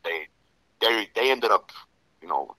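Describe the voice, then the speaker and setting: A man's voice: lowish voice, expressive, a little slow, pushed and bright, dry recording, poor audio. A middle-aged man with a New York Italian-American accent, telling stories as an interview guest in a loose, rambling way, packed with "you know" fillers. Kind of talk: casual storytelling interview.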